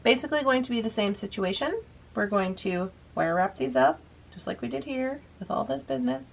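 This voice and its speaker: A woman's voice: a little high, animated, fairly quick, creaky. A woman hosting a beading tutorial, explaining each step in a chatty, easygoing way while she works. She is a bit sniffly. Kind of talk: casual step-by-step craft demonstration.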